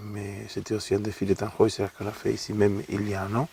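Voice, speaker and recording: A man's voice: fairly low pitch, monotone, fast talker, vocal fry, warm tone, a little hiss or hum. A middle-aged man from Argentina with an Argentine Spanish accent, a guest speaking thoughtfully in an interview and often repeating words as he searches for them. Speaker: opera singer guest. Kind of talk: relaxed interview talk. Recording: voice from a distant mic.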